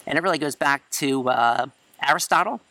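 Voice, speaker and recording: A man in his forties, a high school English teacher, giving a chatty, self-assured class introduction outdoors in a courtyard. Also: fairly quick, bright, forceful tone, dry recording. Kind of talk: class introduction video lecture. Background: crickets and chirping.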